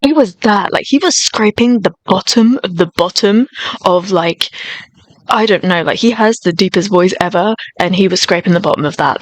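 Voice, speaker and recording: Gushing high-voiced woman, dry sound. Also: dry recording. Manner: gushing, enthusiastic, rambling. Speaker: music video reactor. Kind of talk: casual reaction chat.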